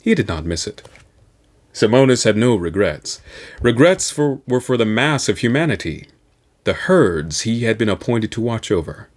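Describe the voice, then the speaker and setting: Man, lowish voice, swooping expressive intonation, theatrical and deliberate, reading science fiction aloud. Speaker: host reading an audiobook. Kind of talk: scripted narration of a science fiction novel.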